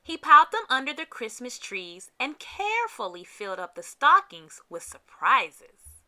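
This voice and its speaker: Female storybook reader for kids: very bright, animated pitch, dramatic emphasis. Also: measured pace. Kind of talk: read-aloud scripted narration for kids.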